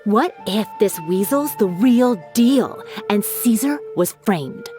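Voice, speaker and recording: A woman's voice: medium pitch, lively intonation, slow, mellow, faint music behind. An actress playing a chatty, teasing townswoman in a game, trading gossip. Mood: gossipy.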